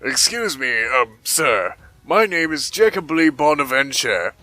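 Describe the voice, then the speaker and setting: A man playing a smooth-talking, profit-minded industrialist, courteous and wordy, in a dramatic comic dub. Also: mid-range voice, big pitch swings, unhurried, sharp tone, dry recording. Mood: courteous, calculating.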